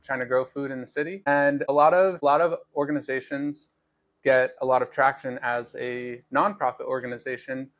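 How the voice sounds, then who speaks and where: A man's voice: medium pitch, fairly even intonation, moderate pace, lo-fi sound. A man hosting a podcast, explaining his work in an earnest, thoughtful way with frequent fillers and restarts. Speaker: podcast host, grower. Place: Los Angeles studio.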